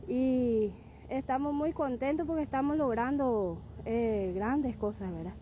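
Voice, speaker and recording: A woman's voice: high-pitched, unhurried, dark tone, a little hiss or hum. A woman chapel coordinator speaking steadily with frequent fillers in a street interview.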